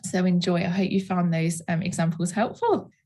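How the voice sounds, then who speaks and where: A calm, measured and reassuring woman with a slightly husky voice, giving a sales training presentation. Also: lowish voice. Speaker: guest trainer for direct-sales team. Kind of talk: training presentation over video call.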